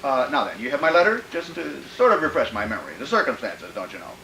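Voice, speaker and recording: Swooping, fussy, deranged killer. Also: male voice, mid-range voice, big pitch swings, fast, creaky, sharp tone, reverberant, a little hiss or hum, heavily acted, poor audio. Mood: oily, wary. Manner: fussy, repetitive, muttering to himself. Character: sinister, gleefully deranged male killer posing as fussy polite employer. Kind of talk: old-time radio thriller acting.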